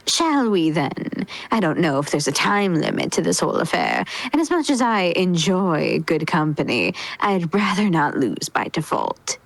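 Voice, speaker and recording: Woman voicing a haughty, low-pitched male alien overlord. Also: sing-song, moderate pace, vocal fry, hardly any pauses, dry recording, full character voice, rough recording. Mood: polite, cool. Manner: formal, sardonic, drawling.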